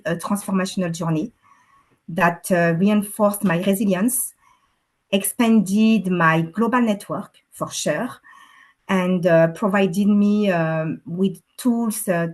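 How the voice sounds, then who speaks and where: French woman speaking with frequent pauses. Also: medium pitch. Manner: earnest, pauses often with fillers. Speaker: alumna panelist. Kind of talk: webinar testimonial.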